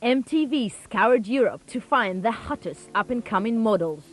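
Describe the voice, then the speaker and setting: A woman's voice: fairly high pitch, lively intonation, moderate pace. A woman reading scripted TV narration in a polished announcer delivery. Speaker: show narrator.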